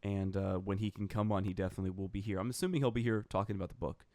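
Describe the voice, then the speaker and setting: A man co-hosts a casual podcast chat, eager and leaning on fillers as he talks. His voice is low. The recording is dry and close. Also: fairly even intonation, rapid-fire, mellow, dry recording. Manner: enthusiastic, filler-heavy. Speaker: podcast co-host.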